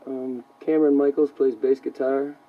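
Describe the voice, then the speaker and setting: A man's voice: medium pitch, little pitch movement, moderate pace. Distant, plainspoken American man in an interview. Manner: brief, plain answers. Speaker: rock singer and keyboardist being interviewed. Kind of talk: casual interview chat. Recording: distant, dull lo-fi radio aircheck tape.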